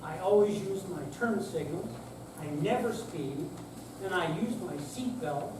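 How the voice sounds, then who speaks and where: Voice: mid-range voice, lively intonation, unhurried, natural voice. An older reader playing an affable, stubborn elderly husband in a staged reading, mildly protesting and evasive. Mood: defensive. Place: senior center hall, live performance. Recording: echoey room, distant mic, loud noise.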